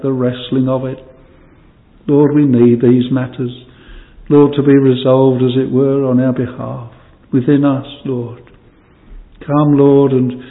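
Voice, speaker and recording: A man leading a solemn extempore prayer in old-fashioned language, pleading, his voice falling away quietly at the ends of phrases. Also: medium pitch. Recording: distant hall reverb, phone-like band.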